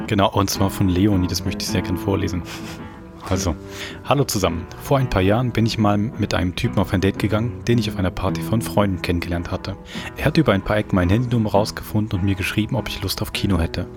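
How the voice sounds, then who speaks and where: A man hosts a podcast in a fairly low voice. He presents and reads aloud conversationally. Music plays clearly behind him. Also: quick pace. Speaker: podcast host. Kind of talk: podcast presenting, reading aloud a listener's letter. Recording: music underneath.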